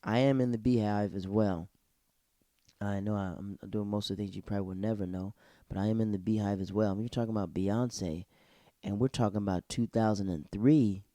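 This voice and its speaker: Rambling young man with a dark voice. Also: lowish voice, steady tone, fairly quick, dry recording, studio quality. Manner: rambling, digressive, slangy. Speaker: solo podcast host. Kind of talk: casual TV episode review.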